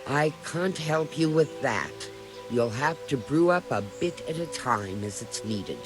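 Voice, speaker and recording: A man acting a brisk medieval herbalist in a video game, matter-of-fact and slightly impatient. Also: mid-range voice, animated, music in the background, natural voice.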